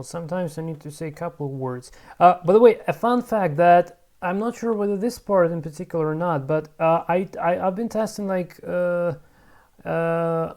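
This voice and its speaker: A language learner in a casual vlog, repeating words over and over, correcting themself and muttering. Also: mid-range voice. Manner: muttering to self, bursts of excitement. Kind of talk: casual pronunciation practice vlog.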